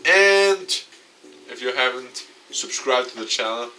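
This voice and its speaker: A man reading a visual novel game aloud with commentary for his video, in a halting, stumbling delivery. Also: medium pitch, animated, pushed and bright, distant mic, slight background noise, poor audio. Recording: off-mic, music behind.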